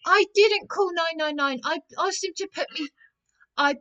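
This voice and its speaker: A woman speaks in a high, piercing voice, sounding distressed. She is an agitated caller making a complaint, pressing her point insistently and repeating herself. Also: moderate pace, a bit bright, dull audio.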